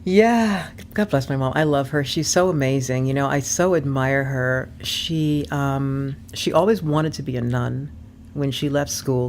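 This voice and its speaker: A woman chats openly as an interview guest, her voice carrying a gravelly fry. She fills her thoughts with ums and laughs easily. Faint noise sits under the recording. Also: very deep voice. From born in Vermont, raised abroad. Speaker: guest, personal development coach. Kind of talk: casual conversation.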